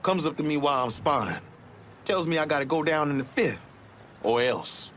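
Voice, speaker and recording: A man's voice: on the high side, expressive, moderate pace, a bit bright, a little hiss or hum. A man playing a proud, streetwise young boxer in a crime game, talking in loose street slang. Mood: aggrieved, wary. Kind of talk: dramatic video game acting.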